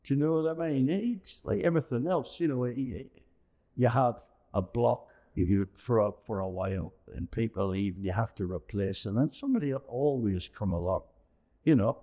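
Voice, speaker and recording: A man's voice: mid-range voice, moderate pace, warm tone. A middle-aged or older man, chatting colloquially and anecdotally as a veteran amateur performer. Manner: colloquial, anecdotal, frequent tag phrases. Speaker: veteran amateur pantomime performer, interview guest. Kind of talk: casual chat.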